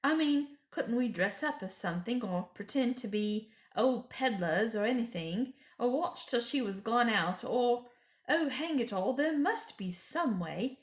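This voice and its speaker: Woman doing earnest brave schoolboy from a storybook, urgent, pitched up, roomy. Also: animated, measured pace. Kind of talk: children's storybook character voice.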